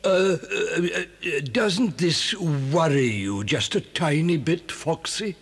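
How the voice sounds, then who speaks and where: Fretful, husky badger. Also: male voice, a little high, animated, takes it slowly, full character voice. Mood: doubtful. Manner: hesitant, fretful. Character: worried, respectable badger, slow and conscientious. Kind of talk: children's audio drama acting.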